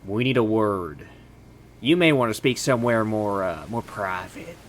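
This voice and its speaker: An actor playing a stern, slightly hesitant police officer in a naturalistic audio drama. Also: male voice, on the low side, lively intonation, unhurried, slight background noise. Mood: official, ominous.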